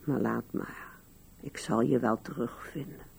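An elderly widow muses tenderly in a radio play. Her voice is deeply gravelly and mellow, and she speaks slowly and wistfully, as if thinking aloud. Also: steady tone, exaggerated voice. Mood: tender, sorrowful. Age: older. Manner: slow, musing, wistful. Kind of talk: radio drama acting, reflective monologue.

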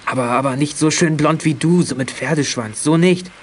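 A man's voice: fairly high pitch, normal speed, a little hiss or hum, close to an everyday voice. A young man acting a shy, earnest teenage boy in a children's audio drama, speaking hesitantly. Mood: flustered. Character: shy, flustered teenage boy confessing and pleading innocence.

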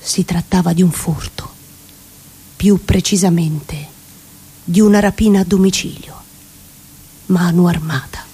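A woman giving a dramatic literary reading, deliberate and theatrical, savouring ornate prose. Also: fairly low pitch, fairly even intonation, warm tone, breathy edge. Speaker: reciting actress in radio theatre.

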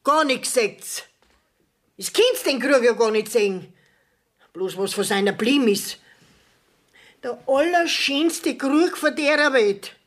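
An actress playing an indignant, long-winded village widow in a radio play, plaintive and emphatic, with a Bavarian accent. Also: female voice, fairly high pitch. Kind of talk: 1970s dialect radio play acting.

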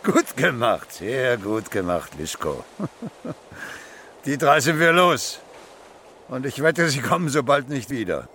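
Bossy gruff sorcerer miller, radio drama, voice swings a lot, bit of background noise. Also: male voice, mid-range voice, big pitch swings, slow, pauses often, pushed and bright, exaggerated voice. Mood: gloating. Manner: commanding, brusque. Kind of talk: German radio play acting.

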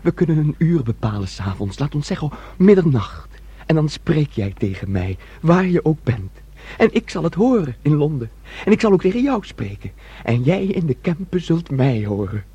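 An actor playing a childlike, gentle, devoted man in a Dutch radio play, speaking naively and earnestly on a dull old recording. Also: a little high, very animated, normal speed, breathy edge, no room sound, exaggerated voice. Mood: tender, playful.

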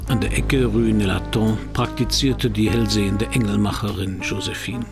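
An actor playing a laconic middle-aged private detective in a radio crime drama, with a dry, understated manner and a gravelly voice. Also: male voice, fairly low pitch, steady tone, normal speed, over music, close to an everyday voice. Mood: wry narrating. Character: wry, laconic French private detective, middle-aged man, narrating his case. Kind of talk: 1990s radio crime drama, first-person narration and dialogue.

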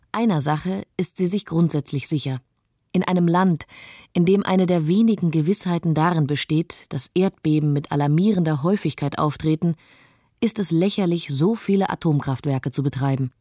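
A woman's voice: low-pitched, mellow, no room sound, clean studio sound. A woman reading the part of a sober, convinced mother in a scripted radio documentary. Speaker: reader of a witness statement.

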